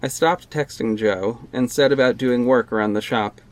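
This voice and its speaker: A man's voice: medium pitch, moderate pace, close to an everyday voice. A man narrating an audio drama in the first person, with a wry, casual storytelling manner.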